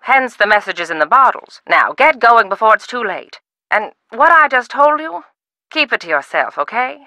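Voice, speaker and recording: A wry, secretive old widow. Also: female voice, on the high side, very animated, moderate pace, bright, forceful tone, no echo, heavily acted. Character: eccentric widowed older woman, secretive. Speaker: game character. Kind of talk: video game voice acting.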